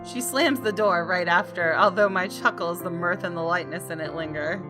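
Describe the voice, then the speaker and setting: A woman, a gaming streamer reading visual novel narration aloud in an expressive, dramatic way. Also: fairly low pitch, moderate pace. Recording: music behind the voice. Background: game music.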